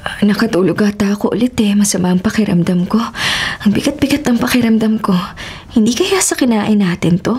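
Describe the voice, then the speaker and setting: A woman's voice: medium pitch, a little fast, a little mellow, breathy edge. An actress playing a weary, frightened young Filipino woman in a radio drama, with an exhausted, anxious delivery. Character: weary, frightened young woman travel vlogger under a curse.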